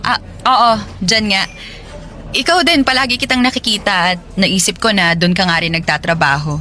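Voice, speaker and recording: An actress with a Filipino accent playing a friendly, sympathetic office worker in a conversational radio drama. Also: female voice, mid-range voice, normal speed, sharp tone, slight background noise, natural voice. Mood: friendly, casual. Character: friendly single office woman, sympathetic listener.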